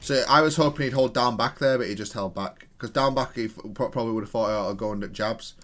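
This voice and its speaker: A man's voice: mid-range voice, fast talker, fairly bright tone, faint music behind. A man giving casual gameplay commentary, analytical and thinking aloud as he corrects himself while reviewing his own play. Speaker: video game player and content creator.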